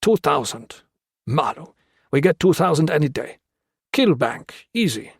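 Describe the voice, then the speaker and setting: Male reader playing a leering guerrilla fighter, broken English, menacing, pushed higher and louder. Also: very animated, measured pace, vocal fry, slightly rough, no echo, studio quality, exaggerated voice. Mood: haggling. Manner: clipped broken English, threatening.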